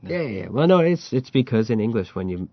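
A man's voice: mid-range voice, big pitch swings, fast talker, dull audio. A man hosting a podcast, chatting casually and telling stories with a laugh. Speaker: podcast host. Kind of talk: casual chat about learning Chinese.

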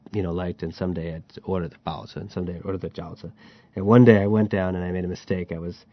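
A man's voice: low voice, lo-fi sound. A man hosting a podcast, chatting casually and telling stories with a laugh. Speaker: podcast host. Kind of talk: casual chat about learning Chinese.

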